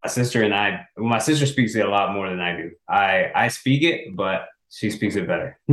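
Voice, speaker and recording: Casting note: young man, very low voice, earnest and halting, originally from El Salvador, roomy echo. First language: Spanish. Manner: earnest, halting with restarts and small laughs. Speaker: guest, author. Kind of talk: casual interview.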